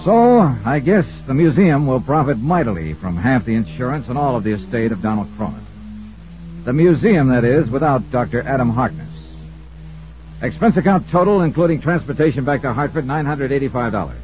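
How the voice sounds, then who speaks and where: An actor playing a shrewd, sardonic insurance investigator, wry and pressing, in an old radio detective drama. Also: male voice, medium pitch, sing-song, brisk, soft background music, natural voice. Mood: wry narration. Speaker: star and narrator of the show. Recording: muffled old radio recording.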